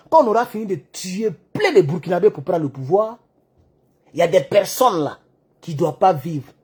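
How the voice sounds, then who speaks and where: A man giving an animated political monologue, acting out the dialogue in his stories. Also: very high voice, breathy edge. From Côte d'Ivoire. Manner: excitable storyteller who acts out dialogue. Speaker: online political commentator.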